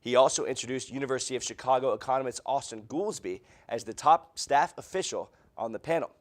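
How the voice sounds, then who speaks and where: A man's voice: medium pitch, quick pace. A young man with an American accent reads scripted news in a formal newscaster delivery, as a reporter and anchor. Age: young adult.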